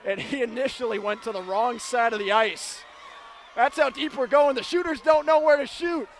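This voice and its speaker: A man calling ice hockey play-by-play live on radio, excitable and building to shouted calls. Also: very high voice, moderate pace. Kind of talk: live radio sports commentary. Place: broadcast position at hockey games. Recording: live arena broadcast, clearly noisy background.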